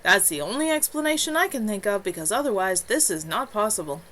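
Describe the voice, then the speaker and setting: A woman reading as a calm, reassuring man. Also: mid-range voice, brisk, a little hiss or hum. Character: calm reassuring male healer.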